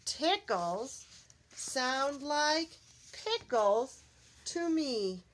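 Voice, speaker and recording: A woman voicing a clever little boy in a storybook, explaining things in a patient, deliberate sing-song. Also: sits high, lively intonation, slight background noise, pitched above the performer's own voice, full character voice. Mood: confident explaining.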